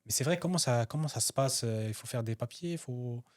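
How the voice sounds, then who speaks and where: A man's voice: mid-range voice, a little fast, a little mellow. A man hosting a casual interview, asking curious follow-up questions with fillers.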